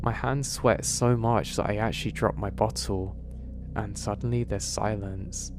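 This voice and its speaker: Low-voiced man narrating horror over music. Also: fairly even intonation, unhurried. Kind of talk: scripted first-person horror narration. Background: background music.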